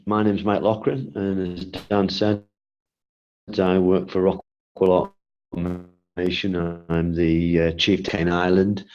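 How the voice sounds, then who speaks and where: A man's voice: deep voice, a little mellow, highs cut off. A man with a congested voice from a cold, giving a webinar presentation as guest speaker and explaining with rhetorical questions.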